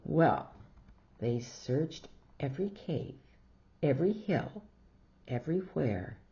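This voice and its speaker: A woman tells a children's story in a slightly low voice. Her theatrical delivery is very slow with lively intonation, and the recording is a little dull. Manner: theatrical, exclaims reactions between lines. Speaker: storybook reader. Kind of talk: expressive read-aloud storytelling for children.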